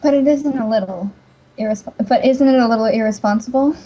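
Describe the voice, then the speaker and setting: A woman's voice: a little high, a little fast, dark tone, faint music behind, close to an everyday voice. A woman playing a hesitant, skeptical heroine in an amateur game fandub, on a dull home recording. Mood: skeptical, puzzled. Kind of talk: amateur visual novel fandub acting.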